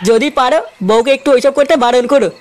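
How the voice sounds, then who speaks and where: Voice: high voice, fairly even intonation, fast talker, music in the background, natural voice. A performer voicing a cheeky, gossipy cartoon villager with a stammering, chattery delivery and a Bengali accent. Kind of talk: Bengali comedy cartoon dubbing.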